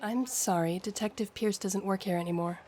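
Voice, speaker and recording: A woman's voice: mid-range voice, steady tone, moderate pace, mellow, lightly acted. A woman acting a courteous, helpful police desk sergeant in an audio drama. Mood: polite.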